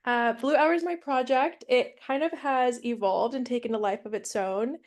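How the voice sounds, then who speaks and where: A woman's voice: a little high, normal speed. A woman from Mexico teaching her first online class over a video call, casual and chatty with fillers. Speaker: guest instructor.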